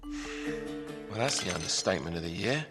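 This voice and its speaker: A sly British thief, speaks in a fairly low voice, dry and self-assured, teasing as he goes. The game cutscene has clear cinematic music behind him. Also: expressive, normal speed. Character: smug, sardonic male thief with a sly streak. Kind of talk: video game cutscene acting.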